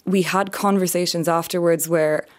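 A young adult woman from France, an author calmly explaining her experiences in an interview. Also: on the low side. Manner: earnest, measured, explanatory. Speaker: author and survivor, interview guest. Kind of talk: reflective interview answers.

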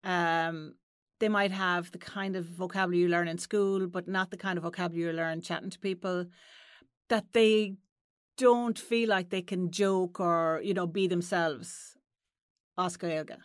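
A woman's voice: lowish voice, fairly even intonation, a little slow, clean tone, dry recording, clean studio sound. An Irish woman hosting an interview podcast, asking probing conversational questions. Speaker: podcast host.

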